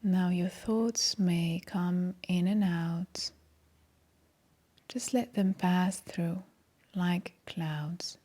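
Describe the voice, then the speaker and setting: Soft, very slow-speaking woman guiding meditation. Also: lowish voice. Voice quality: soft, breathy. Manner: calm, gentle, soothing. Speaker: meditation guide, yoga teacher. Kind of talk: guided meditation, soft-spoken instruction.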